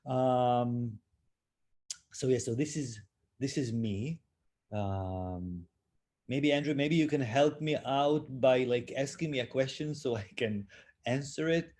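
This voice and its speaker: A man's voice: mid-range voice, slow pace, a little mellow. A man from Israel, speaking as a panelist in a roundtable discussion over a video call, hesitant with many fillers. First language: Hebrew. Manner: hesitant, many fillers, self-deprecating laughs.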